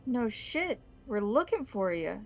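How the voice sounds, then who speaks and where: Woman playing a gruff, brusque male cop. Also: fairly high pitch, big pitch swings, darkish tone, pitched above the performer's own voice. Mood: irritated, surprised. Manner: swearing, blunt, halting cop talk. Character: gruff male police colleague on the phone, brusque, wary.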